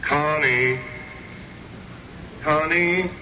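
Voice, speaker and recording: A man's voice: on the high side, drawn-out words, bright, forceful tone, full character voice. An actor playing a miserable, pleading male ghost in an old-time radio drama, calling out plaintively. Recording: muffled, noisy vintage radio recording.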